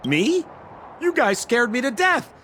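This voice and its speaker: A man's voice: very high voice, sing-song, a little slow, sharp tone, a little hiss or hum, full character voice. An actor playing a flamboyant, silver-tongued young con man in a video game, with theatrical, ingratiating sales patter. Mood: chummy, patronizing. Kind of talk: animated video game voice acting.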